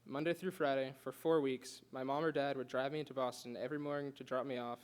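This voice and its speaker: Young male student, quick delivery, earnest speech with a light stutter. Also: mid-range voice, level tone, clear, resonant tone. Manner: earnest, with occasional stutters and restarts. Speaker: student giving a class speech. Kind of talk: prepared speech read aloud.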